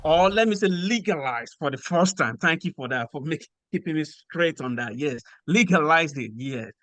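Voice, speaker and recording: A man from Nigeria with a Nigerian accent, explaining things in a measured way with frequent pauses as a podcast guest. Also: on the high side, animated, a bit bright, no room sound. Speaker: guest researcher. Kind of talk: podcast interview.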